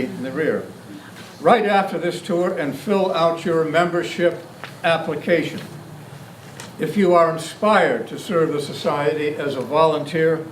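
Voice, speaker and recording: A man giving a formal, oratorical public address as a society speaker. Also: a little high. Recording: echoey, distant, faint background noise.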